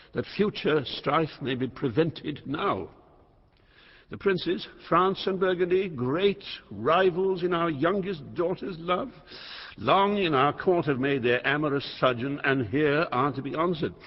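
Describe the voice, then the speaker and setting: Aging proud king, fairly high husky voice, theatrical, commanding, volatile, classical stage drama, long dull-sounding reverb. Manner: grand, theatrical, deliberate, commanding. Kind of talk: classical Shakespearean stage acting. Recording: muffled, reverberant hall sound.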